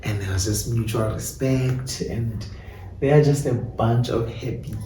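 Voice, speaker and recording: South African man, mellow, in a roomy space. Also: medium pitch, steady tone, a little slow. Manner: switches between English and Xhosa. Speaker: playwright and director being interviewed. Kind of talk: reflective interview talk. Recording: roomy, distant mic, faint background noise.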